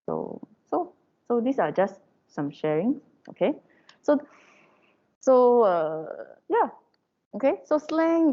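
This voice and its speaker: A woman from Malaysia with a Malaysian English accent, giving an informal lecture to her students in a chatty way, with many fillers and tag questions. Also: medium pitch. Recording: dull lo-fi recording.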